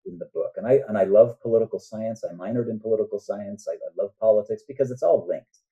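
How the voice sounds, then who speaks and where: An older man speaking earnestly and deliberately as a novelist guest in a reflective podcast interview. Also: lowish voice, mellow. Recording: dull, muffled lo-fi sound.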